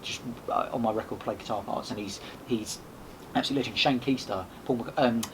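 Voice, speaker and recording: A man tells stories at a quick clip, animated and rambling, often restarting his sentences. His voice sits at a middle pitch. Steady background noise runs under the recording. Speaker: podcast host and guest. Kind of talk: casual storytelling chat. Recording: noisy background.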